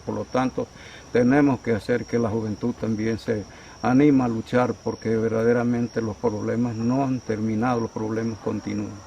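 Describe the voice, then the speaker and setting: A man's voice: medium pitch, creaky, warm tone, dull audio, a little hiss or hum. A man from the community, earnestly giving a testimony while searching for words. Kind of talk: testimonial interview. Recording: distant mic with faint outdoor ambience.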